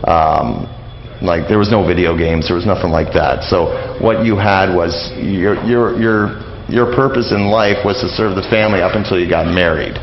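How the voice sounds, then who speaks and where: Low-voiced man in a roomy recording. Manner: rambling, halting storyteller. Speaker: interview guest, old-time radio enthusiast. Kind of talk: casual anecdotal interview talk. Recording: roomy, slightly dull radio recording.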